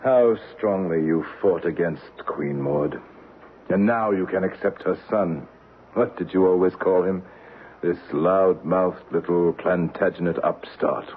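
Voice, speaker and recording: Deep-voiced, troubled middle-aged man. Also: a little slow. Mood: grave, bitter. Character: troubled middle-aged executive haunted by a noble past life. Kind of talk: old radio drama acting. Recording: muffled, faintly noisy old radio recording.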